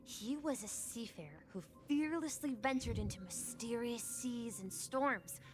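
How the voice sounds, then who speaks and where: Earnest, slow-speaking young boy. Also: on the high side, lively intonation. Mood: wistful pride. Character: earnest young boy dreaming of adventure, devoted grandson. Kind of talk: video game voice acting. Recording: game music underneath. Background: game music.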